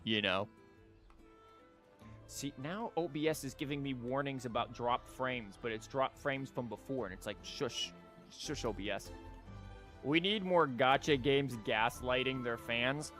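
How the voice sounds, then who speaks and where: A man is streaming a game with music playing faintly behind him. He talks casually in a medium-pitched voice, rambling along with wry, sarcastic commentary. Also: unhurried, fairly bright tone. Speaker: livestreamer. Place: live stream from an indoor setup.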